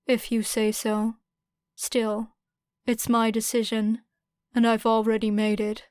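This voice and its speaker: Woman as teenage boy, audiobook, composed, stubborn, blunt, measured, slow pace. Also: fairly high pitch, monotone, darkish tone, smooth, no room sound, clean studio sound. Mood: calm, firm. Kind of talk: audiobook character voice.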